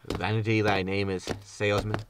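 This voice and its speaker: A man's voice: lowish voice, flat delivery, normal speed, distant mic, background noise, lightly acted. An actor playing a grumpy, sarcastic male spaceship captain in a sci-fi comedy audio drama, with a dry manner. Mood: resigned, dry. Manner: dry, sardonic.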